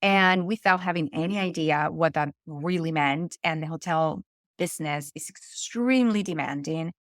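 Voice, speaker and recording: A woman's voice: low voice, moderate pace, dry recording, clean studio sound. A middle-aged woman from Venezuela with a Venezuelan Spanish accent, talking earnestly as a podcast guest and often restarting her phrases. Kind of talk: conversational interview.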